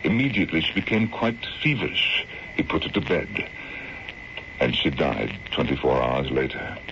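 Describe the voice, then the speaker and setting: A man's voice: extremely low pitch, very animated, normal speed, exaggerated voice. An actor playing an anxious, grieving middle-aged colonel and protective father, formal and troubled, in an old-time radio drama. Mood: grave, worried. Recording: dull, noisy old radio broadcast recording.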